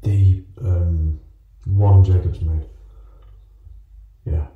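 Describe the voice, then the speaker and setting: An actor playing an uneasy technician on a phone call in a horror film, speaking in a halting, naturalistic way. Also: male voice, low voice, steady tone. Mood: distracted, casual. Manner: hesitant, halting, casual swearing. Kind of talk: naturalistic found-footage horror acting. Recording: muffled, roomy, distant, faint noise.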